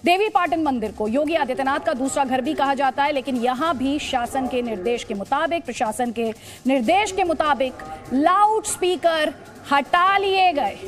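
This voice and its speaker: A woman's voice: high-pitched, normal speed, soft background music. A woman anchoring a television news debate, speaking emphatically, repeating points and posing pointed rhetorical questions.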